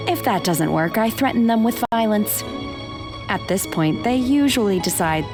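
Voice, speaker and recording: A woman playing an earnest young female disciple in a video game, speaking in a formal, measured, matter-of-fact way. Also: mid-range voice, expressive, music in the background, close to an everyday voice.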